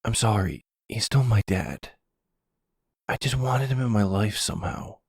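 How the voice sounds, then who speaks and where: Young son, male performer: nervous, naive, pleading and apologetic, raised pitch, hesitant delivery, intimate roleplay drama. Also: lively intonation, measured pace, vocal fry, slightly rough, no room sound, studio quality, exaggerated voice. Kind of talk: ASMR roleplay audio drama.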